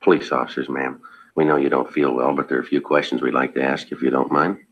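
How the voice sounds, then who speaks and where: A very low-voiced police detective talks fast, in terse official phrases. He stays calm and polite, on a muffled vintage radio crime drama recording. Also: creaky, full character voice. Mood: gentle, steady. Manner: terse, clipped, polite and procedural. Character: calm, businesslike male police detective and narrator. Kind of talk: old-time radio police drama acting and narration.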